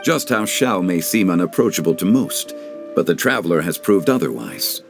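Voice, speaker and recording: A composed, ageless gentleman from an anime game. He speaks formally and wisely in a low voice with lively, shapely intonation. Faint music plays behind him. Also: normal speed, vocal fry, exaggerated voice. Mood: calm, reflective. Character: calm, wise, dignified ancient god living as mortal gentleman. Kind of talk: anime game dub acting.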